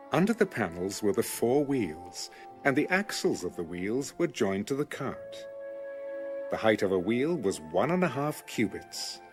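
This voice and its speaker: Expressive man narrating scripture over music. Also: lowish voice. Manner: measured, formal reading. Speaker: Bible audiobook narrator.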